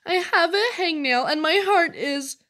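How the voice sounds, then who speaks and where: A woman's voice: very high-pitched, expressive, unhurried, bright, forceful tone, voice pushed up, heavily acted. A woman voicing a little girl who whines melodramatically about being sick, in a children's poem reading. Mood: whiny, pitiful. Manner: melodramatic complaining. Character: whiny dramatic little girl faking endless illnesses.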